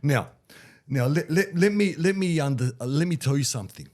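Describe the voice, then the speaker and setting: A man with a head cold, a little nasal, rambling excitably in casual sports chat as a podcast co-host. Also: a little high, fairly quick.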